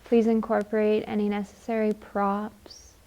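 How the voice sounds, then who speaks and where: A woman leading a guided meditation in a gentle, soothing manner, leaving long unhurried pauses. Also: medium pitch, completely flat delivery, poor audio.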